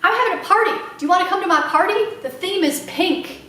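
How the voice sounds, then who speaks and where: A woman's voice: extremely high pitch, fast talker, a bit bright, recorded from a distance, slight background noise, pitched above the performer's own voice. A woman voicing an eager, earnest five-year-old girl who chatters with folksy grammar in a children's storybook reading. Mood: eager, chattering. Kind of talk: children's storybook read-aloud. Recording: echoey room.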